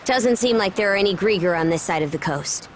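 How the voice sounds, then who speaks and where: A woman's voice: mid-range voice, animated, a little fast, a little hiss or hum, lightly acted. Casting: actress for young female seafarer fighter, video game, mid-range voice, wary and clipped. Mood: focused. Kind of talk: English video game cutscene voice acting.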